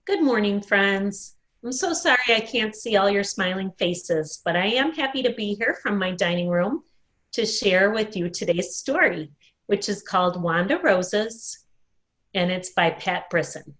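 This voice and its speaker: A woman telling a children's story in an expressive read-aloud style, recorded at home in a dining room. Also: medium pitch, a little slow. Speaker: storyteller at a worship service.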